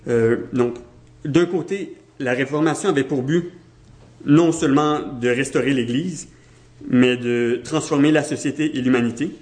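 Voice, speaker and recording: A man with a Québécois French accent giving an academic lecture, pausing often with fillers and restarting phrases. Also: fairly high pitch, a little mellow. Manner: hesitant, many "euh" fillers and restarts.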